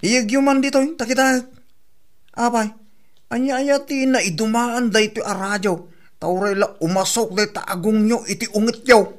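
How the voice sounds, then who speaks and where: A woman's voice: a little high, steady tone, normal speed, natural voice. A woman playing a put-upon member of a household, answering back in an exasperated way in a comedy radio drama. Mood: protesting.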